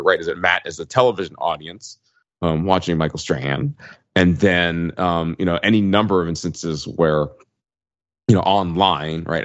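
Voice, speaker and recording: Male podcast co-host, low register, casual and tentative, reasoning aloud, slightly dull recording. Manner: musing, tentative, seeks agreement. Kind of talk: casual discussion.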